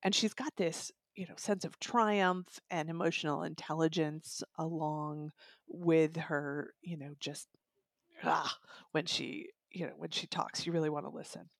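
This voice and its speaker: A woman's voice: low-pitched, fairly even intonation, unhurried, gravelly, dry recording, studio quality. A woman giving a conversational book review as part of a discussion. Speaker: audiobook reviewer and podcast guest.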